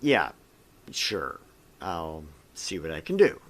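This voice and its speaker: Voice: low-pitched, very animated, slow pace, voice pushed down, full character voice. A gamer voicing an eager, awkward young student helper in a visual novel, often hesitant. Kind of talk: acted visual novel dialogue.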